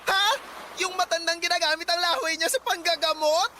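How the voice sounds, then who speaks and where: Isang babaeng gumaganap na madrama at maangal na baklang binatilyong anak sa isang drama sa radyo, maingay ang rekording. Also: female voice, extremely high pitch, steady tone, fairly quick, sharp tone, slight background noise. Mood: sassy, protesting. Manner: whiny, dramatic, effeminate. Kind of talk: radio drama acting.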